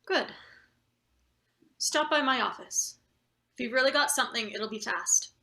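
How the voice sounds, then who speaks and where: A woman's voice: high-pitched, lively intonation, a little slow, pushed and bright, heavily acted. A woman playing a coldly manipulative, impatient boss in a satirical play reading, with a pointed, insinuating delivery. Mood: brisk, satisfied. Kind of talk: table read of a satirical stage play.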